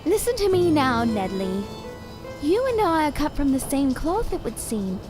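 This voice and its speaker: A woman's voice: sits high, sing-song, moderate pace, music in the background. An actress playing a small living doll, once a real woman, who speaks in a sweet, theatrical, old-fashioned way in an audio drama. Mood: sorrowful. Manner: theatrical, coaxing, ornate old-fashioned phrasing.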